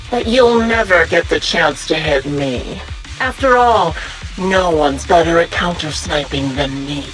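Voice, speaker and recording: A woman voicing a sadistic, playful enemy sniper in a video game, with a mocking, theatrical, drawn-out delivery. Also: medium pitch, recorded from a distance. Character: sadistic, playful female enemy sniper taunting her prey. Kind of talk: video game villain acting.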